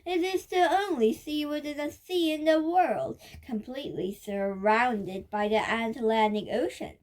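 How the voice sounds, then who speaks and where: A female child reads a book aloud in a high voice. She takes it slowly and carefully, word by word, with the odd halting stumble along the way. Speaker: reader of a children's nonfiction book.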